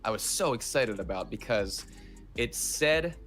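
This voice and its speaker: A man speaking casually as an interview guest, telling stories in an animated, self-deprecating way. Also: medium pitch, a little slow, faint music behind. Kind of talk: casual chat.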